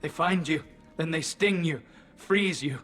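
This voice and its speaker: Young alien refugee, fairly high voice, thin, shaky, delirious, fearful, fragmented speech, game dialogue, faint music. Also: male voice, unhurried, fairly bright tone. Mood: haunted, fearful. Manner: broken, repetitive clipped fragments. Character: delirious, frightened young male alien pilgrim hiding from monsters. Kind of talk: video game voice acting.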